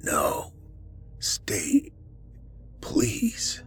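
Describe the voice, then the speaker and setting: Man as dying husband, gentle, pleading, lifted pitch, intimate audiobook character. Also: wildly swooping pitch, drawn-out words, gravelly, breathy edge, faint music behind, heavily acted. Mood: weak, whispering.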